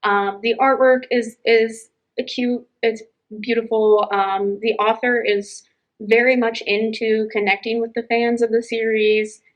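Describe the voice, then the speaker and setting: A woman's voice: on the high side, little pitch movement, unhurried, highs cut off. A woman co-hosting a casual library book chat, talking in an enthusiastic, effusive way. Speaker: library podcast co-host.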